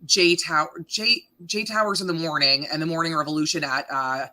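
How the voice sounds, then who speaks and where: Woman, 30s, deep voice, very bright tone, chatty, fillers, laughs a lot, radio host. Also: fairly even intonation, moderate pace. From Canada. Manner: chatty, laughing, self-deprecating, many fillers. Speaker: radio morning show host. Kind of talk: casual podcast interview.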